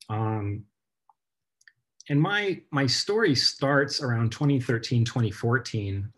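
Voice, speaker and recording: Earnest man telling his story. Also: lowish voice. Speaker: guest author. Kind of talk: presentation on a video call. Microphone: video call.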